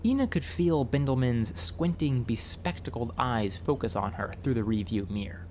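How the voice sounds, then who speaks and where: A man narrating a scripted audiobook story in a wry, expressive storytelling manner. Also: medium pitch, moderate pace, slight background noise.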